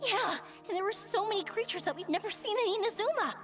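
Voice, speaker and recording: A woman playing a bubbly, energetic young woman in a video game, exuberant and gushing as she talks. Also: extremely high pitch, fast talker, faint music behind. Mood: cheerful, eager.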